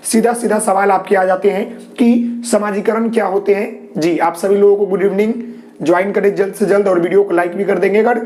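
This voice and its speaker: Man, Bihari Hindi accent, sore throat, high-pitched, live online class teacher, repetitive fillers, reassuring. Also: fairly even intonation, fairly quick, darkish tone. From Bihar, India. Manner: repetitive fillers, frequent "ji" and "okay"; reassuring, tells viewers not to worry.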